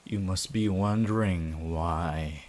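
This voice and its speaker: A young anime villain hypnotist, played by a man, deep and very unhurried. He is calm, cold and menacing, drawing out each phrase for ASMR. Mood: gloating, hypnotic. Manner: drawn-out, deliberate phrasing, mock-polite.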